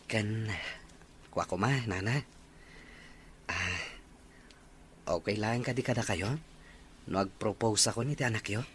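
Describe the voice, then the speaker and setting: A man's voice: on the low side, steady tone, unhurried, pauses often, fairly bright tone. Polite young boyfriend, acted by a man, noisy recording. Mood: hesitant, apologetic. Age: young adult. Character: devoted young boyfriend planning a surprise anniversary proposal. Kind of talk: Ilocano audio drama dialogue. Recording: noticeable background noise.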